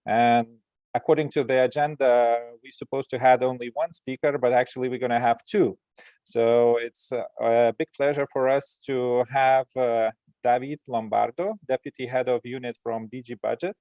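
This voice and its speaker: Lithuanian-accented host, dry and plain. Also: male voice, medium pitch, fairly even intonation, moderate pace, mellow, no echo. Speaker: virtual host. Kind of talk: formal webinar hosting. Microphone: video call.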